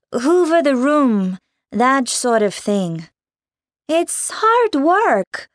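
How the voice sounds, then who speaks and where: An actress reading a scripted listening exercise as a young Portuguese woman working abroad, in a slow, clear, carefully paced delivery. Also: on the high side, no room sound, clean studio sound. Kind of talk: scripted textbook listening monologue.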